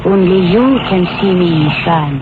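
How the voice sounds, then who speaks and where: An actress playing a calm, insistent ghostly young hitchhiker in 1940s radio drama, speaking in an otherworldly, deliberate way. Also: female voice, on the low side. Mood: eerie, calm. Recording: tinny, noisy old radio recording.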